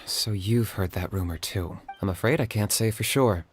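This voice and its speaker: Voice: deep voice, moderate pace, faint music behind, lightly acted. An actor playing a pragmatic, cool-headed young man in a video game, dry, understated and matter-of-fact. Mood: dry, calm. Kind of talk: video game dialogue acting.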